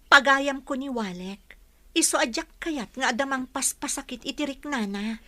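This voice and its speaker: A young Filipino woman in a radio drama, hurt but principled. Her voice is very high and bright, recorded close and dry. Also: normal speed, no room sound. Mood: hurt, subdued. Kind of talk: Ilocano radio drama acting.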